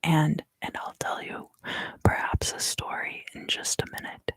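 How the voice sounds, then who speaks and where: A woman in her thirties gives an ASMR tarot reading in hushed, unhurried, deliberate phrases, close to the microphone. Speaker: tarot reader and ASMR host. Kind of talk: ASMR tarot reading, whispered and softly spoken.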